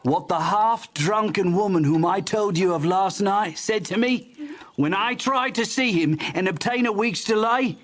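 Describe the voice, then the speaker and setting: Earnest young-sounding debtor husband, high male voice, restrained relief, storybook drama. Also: measured pace, fairly bright tone. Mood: earnest, breaking news. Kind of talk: dramatized audiobook acting.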